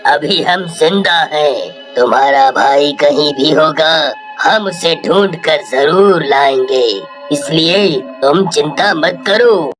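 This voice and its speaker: A woman's voice: deep voice, slow, faint music behind, voice pushed down. A woman voicing a weary old village man in a storybook tale, with a plaintive elderly delivery. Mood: weary, reassuring. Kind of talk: animated Hindi storybook.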